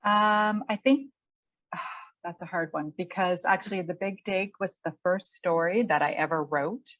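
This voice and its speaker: A middle-aged woman chatting casually as an interviewed author, thinking aloud in a halting way. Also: on the low side, fairly bright tone, no room sound. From Canada. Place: remote online call. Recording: dull, muffled lo-fi audio.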